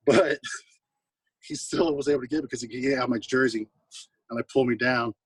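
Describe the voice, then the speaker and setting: American man, mid-pitched husky voice, slangy casual anecdotes, laid-back guest, recorded a little off the mic. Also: steady tone, moderate pace, no room sound, recorded from a distance, low-quality recording. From US West Coast and Hawaii. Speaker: guest, singer-songwriter musician. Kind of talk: casual chat, anecdotal storytelling.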